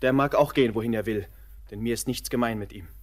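An actor playing a curt, gloomy young drifter in a classic radio play. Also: male voice, mid-range voice, fast talker, lightly acted. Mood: sullen. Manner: curt, sullen.

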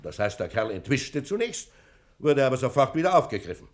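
An actor playing a pompous, stubborn and indignant prison director in a 1960s German radio drama. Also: male voice, mid-range voice, very animated, fairly quick, close to an everyday voice. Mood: sceptical, officious. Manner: pompous, officious, scoffing.

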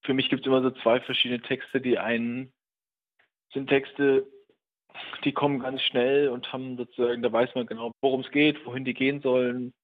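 A man in his thirties, a writer being interviewed by telephone, talking in a reflective, halting, thoughtful way. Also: mid-range voice, level tone. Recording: dull, narrow telephone line.

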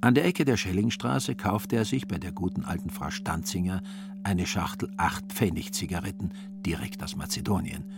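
A man narrating a literary radio play in a wry, deliberate manner with theatrical emphasis. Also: low-pitched.

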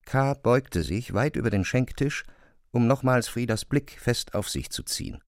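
A man's voice: mid-range voice, lively intonation, unhurried, no echo, clean studio sound. A man narrating literary fiction from a script for an audio drama.

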